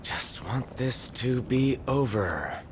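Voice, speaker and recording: An actor playing a moody, irritable young prince in a video game, terse and exasperated. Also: male voice, animated, takes it slowly, background noise. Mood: weary, irritable. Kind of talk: dramatic video game voice acting. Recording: loud rumbling effects under the voice.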